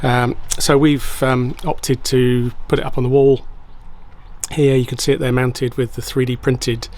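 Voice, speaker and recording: A man's voice: medium pitch, flat delivery, unhurried, a little mellow. A man giving a casual product review, explaining things informally and a little hesitantly. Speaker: product reviewer and home renovator.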